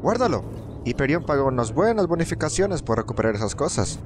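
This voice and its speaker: A man's voice: mid-range voice, very animated, fairly quick, noisy background, close to an everyday voice. A man with a Latin American Spanish accent playing an uneasy, sarcastic young company employee in a conversational game dub. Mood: uneasy, dry. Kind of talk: conversational video game fan-dub acting.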